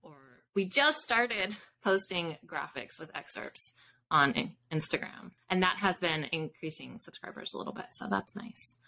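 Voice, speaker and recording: A middle-aged woman with a bright, fairly low voice talks casually as a podcast guest. She is on a video call from a room at home, with faint noise behind her. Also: animated, normal speed, vocal fry, poor audio. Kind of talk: casual interview chat. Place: home room with bookshelves, indoors.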